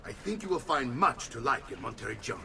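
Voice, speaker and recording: An actor playing a jovial, blustering middle-aged Italian uncle in a video game, with an Italian accent and a hearty, theatrical manner. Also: male voice, medium pitch, sing-song, quick pace, creaky, pushed and bright, slight background noise, full character voice. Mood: genial. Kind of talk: video game cutscene acting.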